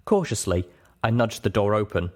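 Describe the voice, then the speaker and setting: A man's voice: on the low side, expressive, moderate pace. A man reading scripted first-person fiction aloud as an audiobook narrator.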